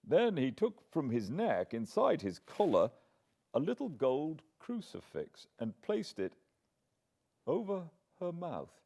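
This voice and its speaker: A British man reading a classic novel aloud as an audiobook narrator, measured, solemn and dramatic. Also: a little high, huge pitch swings, warm tone, breathy edge. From Britain.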